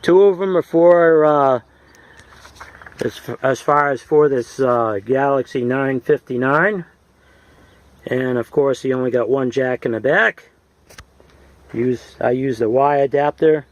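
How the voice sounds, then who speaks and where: A man giving a casual, halting, folksy hands-on demonstration as a radio hobbyist, from the United States. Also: mid-range voice, highs cut off. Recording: noisy home video with radio sound.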